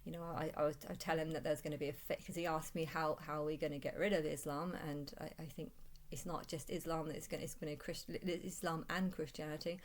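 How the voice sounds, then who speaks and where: A woman with a low-pitched voice talks through a rambling personal vlog. She speaks haltingly, repeating and restarting her phrases as she recounts things in the first person. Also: steady tone. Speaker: vlogger.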